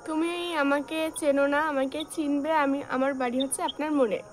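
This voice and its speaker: Coy, high-voiced woman. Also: fairly even intonation, fairly quick, soft background music. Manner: coy, teasing, evasive. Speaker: prank caller. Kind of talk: casual flirtatious phone chat.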